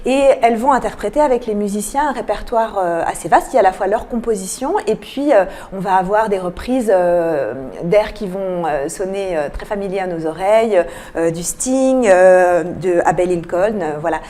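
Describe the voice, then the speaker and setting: Woman, arts programmer as radio guest. Soft-edged mellow tone, explanatory, hesitant delivery. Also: mid-range voice, normal speed, few pauses. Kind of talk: radio interview, presenting a cultural programme. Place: radio interview.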